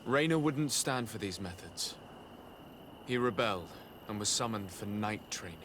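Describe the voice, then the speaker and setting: A man playing a calm, diplomatic adventurer in a video game cutscene, with a measured, polite, earnest delivery. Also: fairly low pitch, slight background noise. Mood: grave. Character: calm, diplomatic male adventurer investigating abuses.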